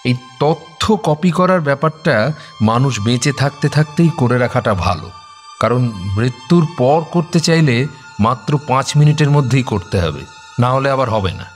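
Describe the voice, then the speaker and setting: A man's voice: medium pitch, expressive, normal speed, faint music behind, natural voice. A man playing an eager, persuasive technician in an audio drama, explaining. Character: eager, persuasive male technician selling memory-storage robots.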